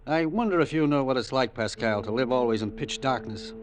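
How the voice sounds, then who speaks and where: Male actor as racketeer boss: mid-pitched, imperious, restless, dry sarcasm, clipped orders, vintage radio drama. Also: fairly quick, close to an everyday voice. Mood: sardonic, brooding. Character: blind crime boss, restless, commanding and sardonic. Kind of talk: old-time radio drama acting.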